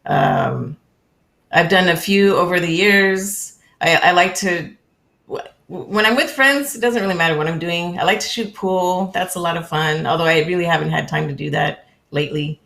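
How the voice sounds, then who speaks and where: A woman from California with a lowish voice and a gravelly edge. She talks in a friendly, conversational way over a video call and often pauses on an um. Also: sing-song, a bit bright. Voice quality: friendly, with frequent ums and small laughs. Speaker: interview guest. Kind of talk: casual conversational interview.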